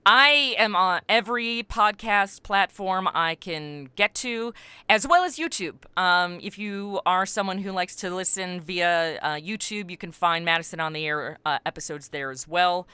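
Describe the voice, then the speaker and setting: Bright, clear-voiced middle-aged woman. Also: lowish voice, a little slow, no echo. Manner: animated, self-deprecating, acts out quoted remarks. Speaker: podcast creator and voice actor, interview guest. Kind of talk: casual interview chat.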